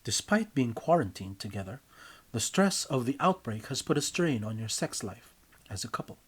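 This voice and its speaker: Husky man reading a story theatrically. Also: medium pitch, big pitch swings, a bit bright. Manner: theatrical, emphatic, deliberate phrasing. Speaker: writer and reader of own story. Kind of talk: dramatic scripted story narration in second person.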